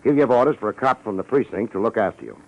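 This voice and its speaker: A man's voice: lowish voice, expressive, very fast, muffled recording. An actor playing a wry, easygoing American private detective in a 1950s radio drama, wisecracking and colloquial as he talks and narrates. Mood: dry, casual. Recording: old radio broadcast recording with music.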